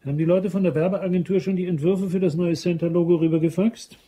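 A man's voice: high-pitched, steady tone, fast talker, warm tone, slightly rough. An actor playing an impatient, bossy male manager of a shopping centre in a radio crime drama, curt and demanding.

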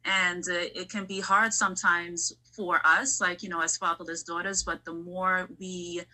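A woman's voice: on the low side, little pitch movement, normal speed, sharp tone, rough recording. A woman hosting a casual, reflective interview, talking things through and asking questions. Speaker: podcast host interviewing a guest.

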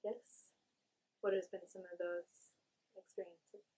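A woman interviewer speaks off camera in a mellow, warm tone. She asks her questions softly and sounds encouraging. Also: lowish voice, level tone, slow pace, slightly rough, rough recording.

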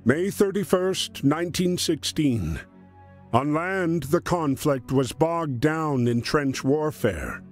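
A man narrating a wartime history game as a solemn storyteller, in measured scripted delivery. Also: a little high, animated. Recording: music behind the voice. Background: music.

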